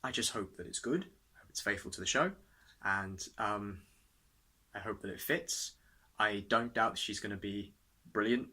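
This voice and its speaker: A man's voice: fairly low pitch, normal speed, pushed and bright. A young adult man chatting casually to camera in a vlog. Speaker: vlogger.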